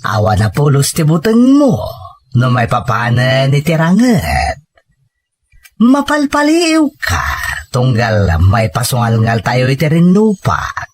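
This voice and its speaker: Man acting a solemn, deliberate older rebel mentor. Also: medium pitch, wildly swooping pitch, exaggerated voice. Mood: admiring, encouraging. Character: older rebel mentor, earnest, encouraging, addressed as elder. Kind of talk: audio drama acting.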